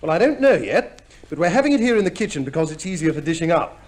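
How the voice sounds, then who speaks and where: An actor playing a smug, pompous army major and husband in a 1950s radio comedy, with a theatrical manner. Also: male voice, a little high, big pitch swings, fast, a little mellow. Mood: smug, bickering.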